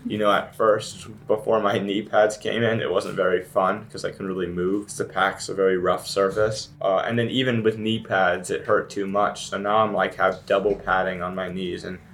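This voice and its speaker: A man's voice: low-pitched, level tone, moderate pace, few pauses, fairly bright tone, a little hiss or hum. A young man, a student actor, talking earnestly in a casual panel chat and asking the other cast members questions.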